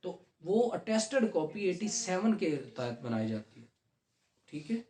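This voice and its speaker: A man teaching a law class, reading legal text slowly and then explaining it conversationally to students. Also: high voice, very animated, a little mellow. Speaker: law lecturer. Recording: echoey classroom.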